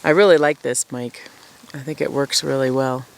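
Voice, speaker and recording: A woman with a low voice, chatting casually to camera outdoors at her forest camp through a camera-mounted mic, rambling and self-deprecating. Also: fairly even intonation, normal speed, low-quality recording. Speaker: vlogger living at a wilderness camp.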